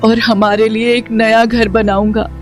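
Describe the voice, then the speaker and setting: A man's voice: high-pitched, little pitch movement, unhurried, faint music behind. A man playing a poor, determined son who tenderly consoles his mother in a cartoon moral story.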